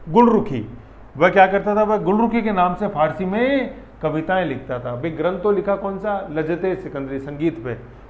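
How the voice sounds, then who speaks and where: A man's voice: a little high, a little hiss or hum. A man teaching an exam-prep history lesson in a chatty, repetitive way, often quizzing his students. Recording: echoey room, dull audio, faint ambience.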